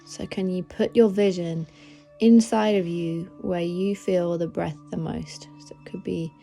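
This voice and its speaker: Female meditation host: relaxed, dark-soft timbre, very unhurried, soothing guidance over faint music. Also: fairly low pitch, vocal fry. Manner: gentle, soothing, unhurried instruction. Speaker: meditation guide, podcast host. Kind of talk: guided meditation.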